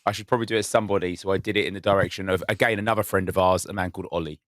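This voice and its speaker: A man co-hosting a casual podcast, telling stories with enthusiasm and a touch of self-mockery. His voice sits on the low side. The sound is dry and close, with no room echo. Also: steady tone, rapid-fire, fairly bright tone. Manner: enthusiastic, self-mocking storyteller. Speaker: podcast co-host. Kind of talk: casual chat.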